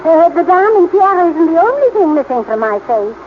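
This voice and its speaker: Prim rich old lady, indignant n flustered, really high voice, tinny old radio drama sound. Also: very animated, fairly quick. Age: older. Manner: genteel, fussy, prim. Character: wealthy, genteel seventy-year-old society matron, flustered, indignant. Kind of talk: 1940s radio acting. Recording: muffled, noisy 1940s radio recording.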